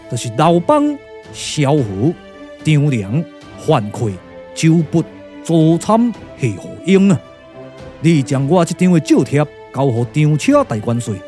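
A man's voice: mid-range voice, mellow. A man with a Taiwanese accent playing a composed, commanding strategist and elder brother in a puppet-theatre radio drama, declaiming in a stylised way.

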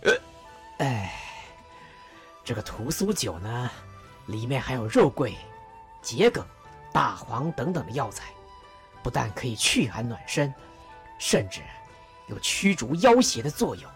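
A woman voicing a deferential, scholarly male strategist who explains things in a formal, measured way. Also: a bass voice, sing-song, soft background music, heavily acted.

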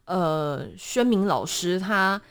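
A woman with a Taiwanese Mandarin accent, telling stories as a guest in a conversational interview with a dramatic, animated delivery. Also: fairly low pitch, takes it slowly, clear, resonant tone, faint music behind. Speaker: voice actor guest.

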